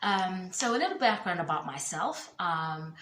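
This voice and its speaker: A young woman introducing herself in a thoughtful way, with many filler pauses. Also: on the low side, expressive, fairly bright tone, a little hiss or hum. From born in the United Kingdom, raised in Zambia, Zimbabwe and Kenya. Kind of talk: self-introduction video. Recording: roomy echo.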